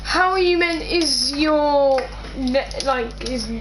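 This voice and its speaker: A woman's voice: high-pitched, slow, background noise. A woman asking questions in a casual chat, hesitant and full of fillers and restarts.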